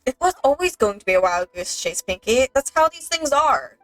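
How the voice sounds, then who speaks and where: Bright, high-voiced woman gamer chatting. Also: big pitch swings, normal speed, faint music behind, rough recording. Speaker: gamer playing with a friend. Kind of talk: casual game chat.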